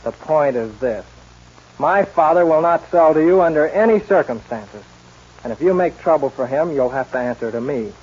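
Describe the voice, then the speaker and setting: Young cowboy hero, slightly rough highish voice, blunt n fearless, old muffled radio western. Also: male voice, animated, normal speed, darkish tone. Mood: cool, defiant. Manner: firm, clipped, coolly defiant. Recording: muffled vintage radio recording.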